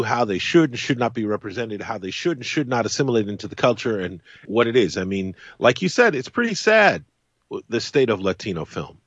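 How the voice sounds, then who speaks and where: A man's voice: medium pitch, no room sound, lo-fi sound. A man speaking as a guest in a casual conversation, emphatic and repeating phrases for stress. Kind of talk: casual chat.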